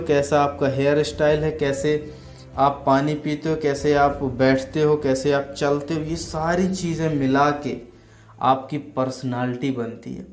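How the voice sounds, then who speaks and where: A man's voice: a little high, darkish tone. A man giving an instructional talk as a coach, explaining emphatically and repetitively with lists of examples. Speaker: network marketing coach. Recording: roomy echo with music underneath. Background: music.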